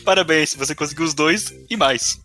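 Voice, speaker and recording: A man's voice: fairly high pitch, normal speed, bright, forceful tone, soft background music. A Brazilian man in casual podcast chat, cutting in with dry, teasing remarks. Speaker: podcast member and player.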